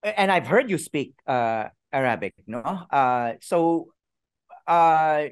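Halting middle-aged male interviewer, dry. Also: a little high, expressive, smooth, dry recording. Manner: halting, restarting phrases, mixing languages. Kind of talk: casual conversational interview.

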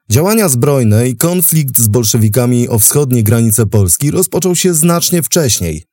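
A man hosting a history podcast, delivering scripted documentary narration in a measured, dramatic storytelling manner. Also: medium pitch, dark tone, dry recording, studio quality.

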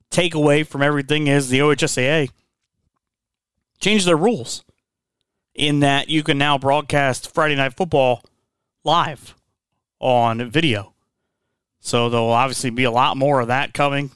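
Smooth-voiced sports radio host. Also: male voice, mid-range voice, fairly bright tone, no echo, clean studio sound. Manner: easygoing, conversational, with fillers. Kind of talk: sports podcast talk.

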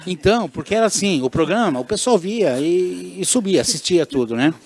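A man's voice: high voice, expressive, brisk. A man spins anecdotes in a loose, easygoing way, laughing often and repeating himself for emphasis. He comes across as a radio regular chatting with friends. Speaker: radio show regular, guest. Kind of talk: casual conversation, storytelling.

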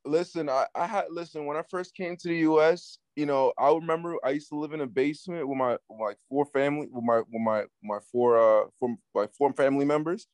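Halting man with a clear, dry voice. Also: on the high side, a little mellow, no echo. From Peru, moved to the US at six, based in New York City. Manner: halting, repeats words and trails off. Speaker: guest, up-and-coming Latin music artist. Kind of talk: casual interview answers.

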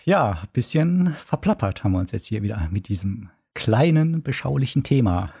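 Mellow, slightly husky man chatting drily. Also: mid-range voice, big pitch swings, moderate pace. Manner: dry, self-deprecating humor. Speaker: podcast co-host. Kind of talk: casual podcast chat.

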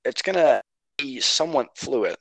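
A man's voice: medium pitch, lively intonation, normal speed, creaky, fairly bright tone, dry recording, poor audio. Teasing young man, dry close sound. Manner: joking, teasing, crude. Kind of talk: casual chat among friends.

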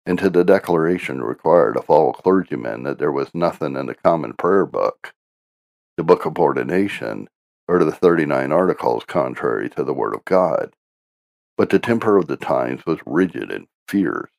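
A man reading a history book aloud as a narrator, in a solemn, deliberate and formal manner. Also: rumbling low, creaky, a little mellow, no room sound, studio quality. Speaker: audiobook narrator.

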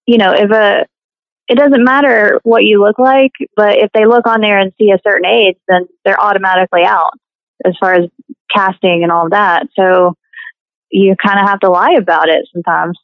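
Hesitant woman with a gravelly voice. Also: mid-range voice, steady tone, normal speed, dry recording, muffled recording. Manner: hesitant, many ums and uhs. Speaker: guest, singer and actress. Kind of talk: casual interview chat.

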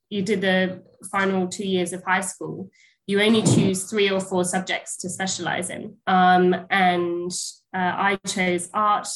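A lower-pitched woman with a slightly snuffly, cold-thickened voice. She talks casually as an interview guest, pausing often with ums and restarting her sentences. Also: poor audio. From England, near Bristol. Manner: hesitant, many ums and restarts. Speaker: guest, pasture science lecturer.

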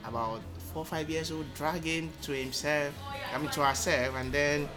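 A man, a podcast guest telling his story in measured, thoughtful speech full of um pauses. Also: on the high side, fairly even intonation, over music. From Nigeria. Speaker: interview guest. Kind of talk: casual conversational storytelling.

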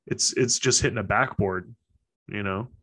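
A man's voice: on the low side, normal speed, pushed and bright, breathy edge. A man in a casual conversation, joking loosely and leaning on "like" as filler. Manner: loose, joking, says "like" a lot. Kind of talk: casual chat.

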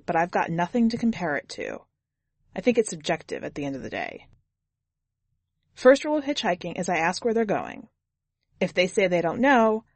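A woman's voice: lowish voice, no echo. A woman narrating in the first person as a jaded, blunt twenty-year-old drifter, wry and foul-mouthed, in an audiobook story.

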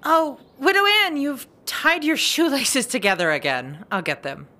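A woman acting a sensible, protective Puritan midwife with a dry, measured, wry manner in a comedy audio drama. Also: high voice, lively intonation, pushed and bright, full character voice. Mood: practical.

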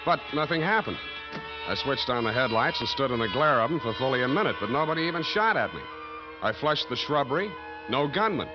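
Actor as cynical American male detective, mid-pitched, deadpan wisecracking narration, 1940s radio drama, loud music behind. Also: fairly quick, highs cut off, lightly acted. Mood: wry, cynical. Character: hardboiled male private detective narrating his case, wry and cynical. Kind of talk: 1940s radio detective acting, scripted first-person narration.

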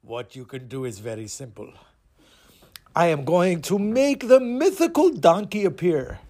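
A man voicing a girl who poses as a menacing angel of death, speaking slowly and commandingly in a told story. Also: high voice, very animated, warm tone, heavily acted. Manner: slow, drawn-out, commanding threats. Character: fake angel of death, a vengeful girl in ghostly disguise, menacing.

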